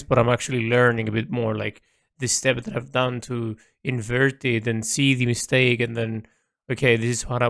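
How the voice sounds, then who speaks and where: Male, creaky low-fry delivery, dry close recording; reflective, halting audio-log narration by an artist. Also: no echo. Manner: hesitant, thinking aloud. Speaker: illustrator keeping an audio log. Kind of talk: reflective unscripted audio diary.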